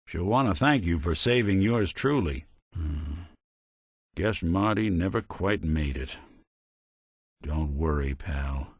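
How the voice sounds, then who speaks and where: A world-weary robot gumshoe for a video game, in a lowish voice recorded close and dry. His delivery swings up and down expressively. He talks in wry, old-fashioned private-eye slang, folksy and loyal. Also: husky, no room sound, exaggerated voice. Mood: wry, friendly. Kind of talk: video game companion voice lines.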